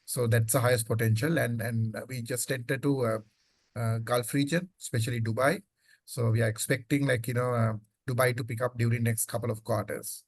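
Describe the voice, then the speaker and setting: A man's voice: mid-range voice, fairly even intonation, brisk, husky, dry recording, studio quality. A man speaking as a company executive, answering questions off the cuff in a conversational way, often saying "like, you know.". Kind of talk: unscripted business earnings call.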